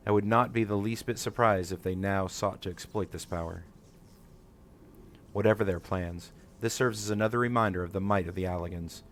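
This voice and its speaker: A man's voice: low voice, brisk, a little hiss or hum, natural voice. A man voicing an earnest, inquisitive scholar in a fantasy game, with formal, musing, theatrical phrasing. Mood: pensive. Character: earnest, inquisitive scholar exploring ancient ruins. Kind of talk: read-aloud fantasy game dialogue.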